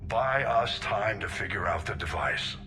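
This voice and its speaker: A man's voice: fairly low pitch, lively intonation, unhurried, music in the background. An actor playing a resolute senior male military admiral in a video game, direct and commanding in his delivery. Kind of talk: video game character dialogue.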